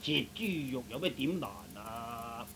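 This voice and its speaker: A man acting a creaky, gruff shop boss. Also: medium pitch, animated, measured pace, a bit bright, voice pushed down. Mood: exasperated. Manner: grumbling, blunt, ordering people about. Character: gruff, impatient middle-aged male shop boss. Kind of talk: Cantonese comic radio play acting. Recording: noisy old radio recording.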